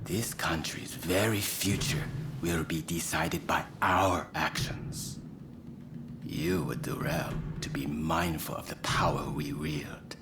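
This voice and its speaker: A proud samurai staff officer in a video game. His voice is low and slow, formal and grandiose, with a scheming air. Music runs underneath. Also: fairly even intonation, in a whisper, exaggerated voice. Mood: proud, menacing. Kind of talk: English game voice acting, cutscene dialogue.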